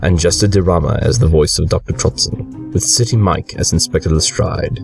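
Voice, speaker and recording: Male credits announcer: bottom-of-the-range pitch, gravelly fry, scripted read with music beneath. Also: normal speed, recorded from a distance.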